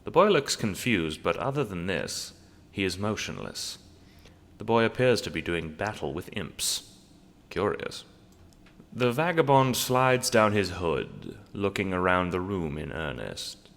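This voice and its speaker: A man narrating a scripted audio drama in a theatrical, deliberate storytelling manner. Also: deep voice, big pitch swings, vocal fry, a bit bright, big-room sound.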